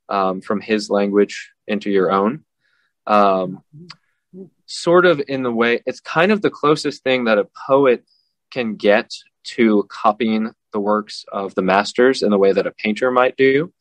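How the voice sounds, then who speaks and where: A man, a translator, answering interview questions in a measured way with frequent pauses and fillers. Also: lowish voice, no room sound, poor audio. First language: English. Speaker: translator guest. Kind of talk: casual interview.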